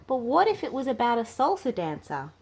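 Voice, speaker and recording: A woman acts out a cheerful young man who loves magic tricks in a children's storybook. He exclaims theatrically at a lifted pitch, over slight background noise. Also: wildly swooping pitch, fairly quick, darkish tone. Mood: delighted.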